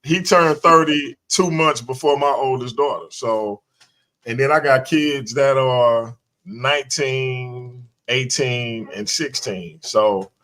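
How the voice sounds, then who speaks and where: A man's voice: mid-range voice, takes it slowly, fairly bright tone, rough recording. A man in his late forties talking casually on a live-streamed show, reflective, using street slang and thinking aloud. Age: 40s. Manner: reflective, talks things through, street slang. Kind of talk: casual chat. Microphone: live stream mic.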